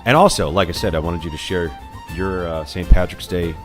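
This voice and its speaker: Deep-voiced man, loud music behind. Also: brisk. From New York. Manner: chatty, rambling, frequent "uh" and restarts. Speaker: live stream host and musician. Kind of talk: casual chat reading viewer comments. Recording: live stream with loud music playing behind. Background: loud recorded Irish music.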